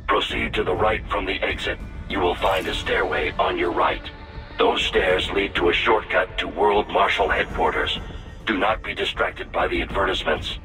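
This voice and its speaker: A deep-voiced machine companion from a video game, speaking in a bright, forceful tone. It is formal, literal and deadpan, with synthetic precision and no trace of humor. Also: male voice, moderate pace, soft background music, low-quality recording. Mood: formal, humorless. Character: coolly formal robotic AI guide, literal and humorless. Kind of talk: scripted game acting.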